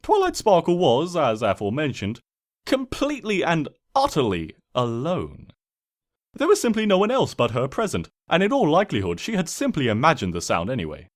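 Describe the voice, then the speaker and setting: A man's voice: a little high, huge pitch swings, normal speed. A man voicing a smug, mischievous trickster spirit who narrates a comic storybook in a pompous, sardonic, theatrical way.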